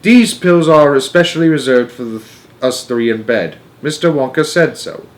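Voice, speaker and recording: A man voicing a greedy, bossy old grandmother who shouts and squabbles in a storybook reading. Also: mid-range voice, moderate pace, natural voice. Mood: smug, refusing. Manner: excited shouting, quarrelsome.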